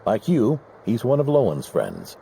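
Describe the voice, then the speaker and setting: A man voicing a stern, gruff older male knight, speaking in a gravelly voice. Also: medium pitch, wildly swooping pitch, measured pace, dark tone, a little hiss or hum, exaggerated voice, low-quality recording.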